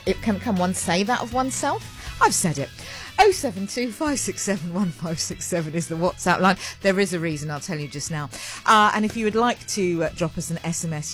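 A woman hosting a live morning radio show in a studio, chatty and rambling, her voice sitting lower than usual after a late night. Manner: rambling, warm and self-mocking, with laughs. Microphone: large studio mic with a fluffy cover.

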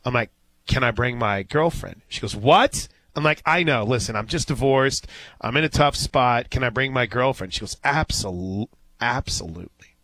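A man's voice: mid-range voice, lively intonation, normal speed, fairly bright tone. A man hosting a live sports radio show, telling stories in an easygoing, folksy way. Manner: easygoing storyteller, folksy asides.

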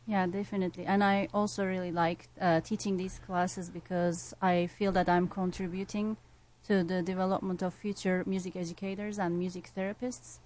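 A woman's voice: lowish voice, little pitch movement, normal speed, darkish tone, poor audio. Woman from Turkey, earnest speaker. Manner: earnest, many fillers. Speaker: music educator. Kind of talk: casual interview answer.

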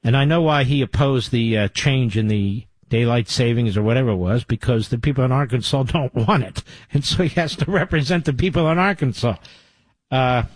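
A man's voice: medium pitch, no echo. A man hosting a talk radio show and taking calls, emphatic and opinionated. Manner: emphatic, opinionated, rapid-fire.

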